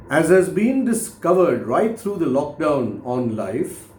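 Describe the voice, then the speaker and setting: Mellow man reading aloud in a roomy space. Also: on the high side. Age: 50s. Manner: measured, deliberate, oratorical phrasing with pauses. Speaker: author reading own book. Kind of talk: scripted audiobook narration, motivational. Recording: roomy, echoing voice recording.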